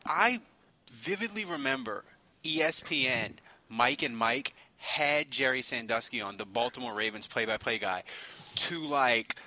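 A man in casual sports talk, telling things informally in a halting, conversational way. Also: mid-range voice, expressive, sharp tone. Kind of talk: casual chat.

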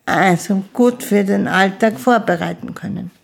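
A woman, an interview guest who speaks slowly and haltingly, often restarting her sentences. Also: mid-range voice, vocal fry, slightly rough. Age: 50s. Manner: slow, halting speech with restarts. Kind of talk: casual conversation.

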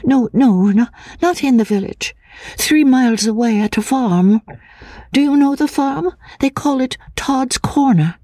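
Frail, troubled young woman: high, airy, hesitant, childlike, for a classic audiobook. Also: animated, warm tone, no echo. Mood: nervous, earnest. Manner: halting, stammering, repeating words anxiously. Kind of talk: dramatic audiobook reading of classic literature.

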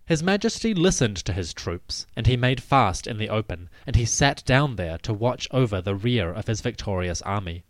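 A man giving a scripted, dramatic narration of ancient history in a measured, solemn storytelling manner. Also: fairly low pitch, sing-song, dry recording, studio quality. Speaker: podcast host and narrator.

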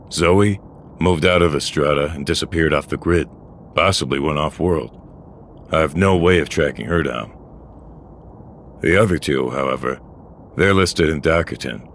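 An actor playing a weary, bitter old courier, a gruff and sardonic loner, in a noir science-fiction audio drama. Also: male voice, very deep voice, a little hiss or hum. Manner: brooding, world-weary, sardonic. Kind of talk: first-person noir audio drama acting.

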